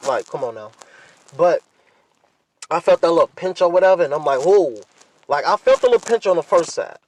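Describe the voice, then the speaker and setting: A man's voice: on the high side, lively intonation, fairly quick, darkish tone, dry recording, low-quality recording. A man hosting a podcast, talking casually and candidly in blunt, profane slang and repeating himself for emphasis. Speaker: podcast host.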